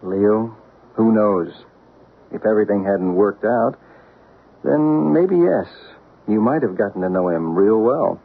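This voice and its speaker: An actor playing a calm, wry paranormal investigator in a 1970s radio drama, with a gravelly, husky voice. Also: male voice, lowish voice, measured pace, long pauses, full character voice. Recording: tinny old radio broadcast.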